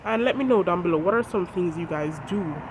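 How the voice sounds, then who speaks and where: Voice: mid-range voice, animated, fairly quick. A host with a head cold, sounding congested, giving an earnest devotional talk that reads scripture and speaks directly to viewers. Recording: noisy outdoor traffic background.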